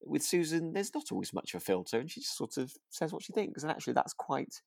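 A man chatting casually as a podcast co-host, with a halting way of restarting his sentences. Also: on the high side, sing-song, vocal fry, a little mellow, no echo, studio quality. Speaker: actor. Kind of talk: casual chat recapping a radio drama.

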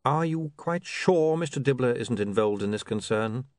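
An urbane older male ruler, acted by a male narrator for an audiobook. He speaks with dry, formal courtesy and quiet menace, his pitch moving freely, in a very close, dry recording. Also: lively intonation, normal speed, no room sound, close to an everyday voice. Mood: polite amusement. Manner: dry, polite, pompously formal. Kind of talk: audiobook character voice.

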